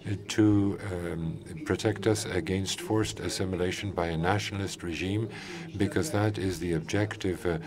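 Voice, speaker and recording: A man with a very low voice delivers a formal statement at a conference, stopping often to fill gaps with hesitant sounds. He is from Ukraine and speaks for a civic group. A crowd murmurs audibly in the hall around him. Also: steady tone. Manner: halting, frequent uh fillers. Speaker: NGO coordinator speaking at a conference session.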